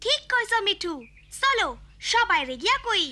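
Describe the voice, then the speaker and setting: A woman voicing a bright, caring village girl in a children's cartoon, earnest and explaining, with a Bangladeshi accent. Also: very high voice, animated, moderate pace, very noisy. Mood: determined. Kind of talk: children's educational cartoon acting.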